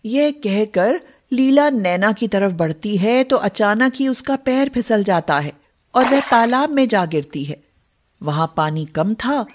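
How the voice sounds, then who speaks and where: Slow-paced woman narrating a story. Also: medium pitch.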